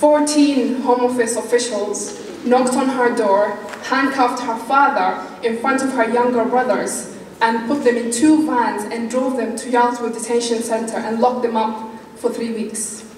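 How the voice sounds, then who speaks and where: A young woman speaking on a panel, telling a story in a lively, quick way and acting out the voices she quotes. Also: fairly high pitch. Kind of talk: storytelling speech. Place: large conference hall. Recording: echoey hall from far off.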